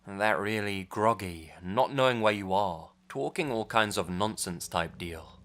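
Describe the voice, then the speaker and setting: A man narrating a true horror story in a steady, measured telling. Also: on the low side.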